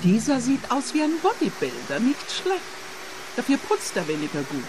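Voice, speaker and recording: A woman's voice: fairly high pitch, huge pitch swings, moderate pace, music in the background, low-quality recording. An actress playing a worldly, cynical former landlady in a radio drama, who teases and mocks with a sardonic edge. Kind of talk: radio play acting.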